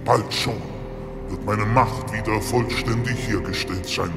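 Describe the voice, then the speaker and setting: Ein Schauspieler spielt in einem Horror-Hörspiel einen bedrohlichen Drachendämon, der langsam und feierlich als Herr eines Kults verkündet. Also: male voice, on the low side, fairly even intonation. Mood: solemn, menacing. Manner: grandiose, slow ritual proclamation. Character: menacing male dragon demon, solemn cult lord. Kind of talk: horror audio drama acting. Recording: reverberant vast hall. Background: loud music.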